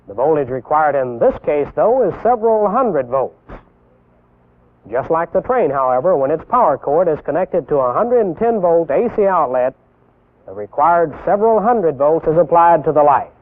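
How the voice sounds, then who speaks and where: A young American man giving scripted instructional narration as a military instructor, deliberate and didactic, posing rhetorical questions. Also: fairly high pitch, big pitch swings. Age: young adult. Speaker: military instructor presenting a training film. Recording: tinny band-limited 1960s film soundtrack.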